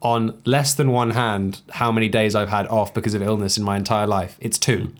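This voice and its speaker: A man co-hosting a casual podcast, reading out listener posts and telling stories in a relaxed way. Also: fairly low pitch, normal speed. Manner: relaxed, earnest storytelling with fillers. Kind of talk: casual chat.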